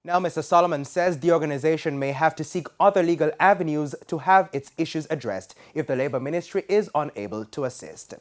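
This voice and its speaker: A man with a Jamaican accent reading scripted business news in a formal, measured broadcast delivery. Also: fairly high pitch. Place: TV news studio.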